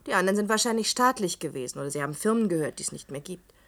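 An actress in a 1960s German radio drama playing a missing doctor's determined daughter, earnest and insistent. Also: female voice, on the low side, lively intonation, very fast, fairly bright tone.

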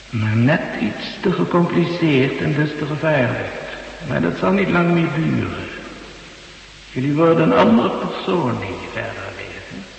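A man acts as a condescending overlord, gravelly and measured, with calm menace. His voice booms out in very strong echo, as in an old radio drama. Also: natural voice. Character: calmly menacing, condescending male master of a future society. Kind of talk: Dutch radio play acting. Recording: cavernous long reverb, distant, hissy radio recording.

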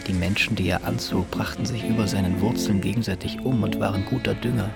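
An actor playing a resigned, detached war veteran who recalls his life with understated, deadpan fatalism in a radio drama. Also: on the low side, fast talker, vocal fry. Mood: detached, reflective. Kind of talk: German radio drama acting. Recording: strong music behind the voice.

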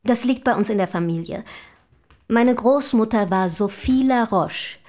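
A woman's voice: fairly high pitch, a little slow, darkish tone. An actress playing an eager, self-assured young noblewoman writer of the early 1800s in a radio play, with formal, inquisitive diction. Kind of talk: German radio play acting.

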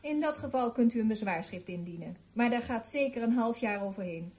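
An actress playing a polite, formal employment office clerk in a radio play, procedural and officious. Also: female voice, on the high side, expressive, fairly quick, a little mellow, lightly acted. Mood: coolly polite. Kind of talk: radio drama acting.

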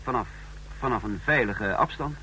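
An actor playing a bold secret agent who poses as a nervous, hesitant man, with halting formal speech in a radio drama. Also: mid-range voice, bright, forceful tone, lightly acted. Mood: hesitant, cheeky. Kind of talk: Dutch radio drama acting. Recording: noisy vintage radio drama recording.

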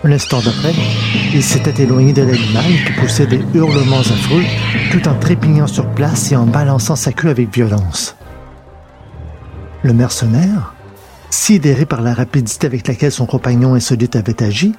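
A man's voice: medium pitch, lively intonation, moderate pace, over music. A man reading a scripted science fiction adventure as narrator.

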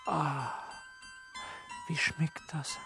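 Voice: medium pitch, steady tone, extremely slow, gravelly. An actor playing a fawning, lecherous, easily offended male estate bailiff in a radio play, wheedling and theatrical. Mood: wheedling, amorous. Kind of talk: German radio play acting. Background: music.

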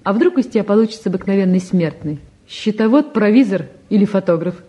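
An actress as a young woman visitor in a radio play, her voice mellow and mid-pitched. She is calm and composed, with a light irony and a gentle, probing way of teasing. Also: fast talker, close to an everyday voice. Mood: wry, earnest. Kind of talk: naturalistic stage and radio drama acting.

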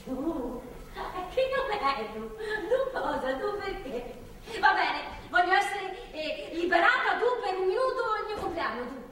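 An actress playing a wounded, sarcastic, foul-mouthed pregnant captive in a stage drama. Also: female voice, extremely high pitch, expressive, a little slow, fairly bright tone, exaggerated voice. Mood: demanding. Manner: sarcastic, pleading, profane outbursts. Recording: echoey hall from far off, faint noise.

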